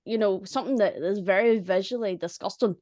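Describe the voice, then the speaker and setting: A woman chatting casually and humorously about making audio dramas, in a chatty, animated way. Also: medium pitch, a little fast, no room sound, clean studio sound. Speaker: audio drama writer and voice actor. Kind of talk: casual chat.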